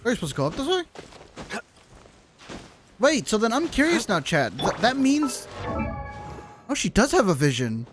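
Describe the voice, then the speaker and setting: A streamer giving casual live gameplay commentary, slangy and sweary, thinking out loud. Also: medium pitch, wildly swooping pitch, moderate pace, long pauses, music in the background. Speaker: video game streamer. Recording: noisy, clicks behind the voice.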